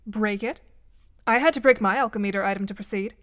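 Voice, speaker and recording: A woman's voice: mid-range voice, a little fast, fairly bright tone, close to an everyday voice. A woman playing a cool, articulate teenage girl in an audio drama, precise and composed as she gives instructions. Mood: brisk instruction.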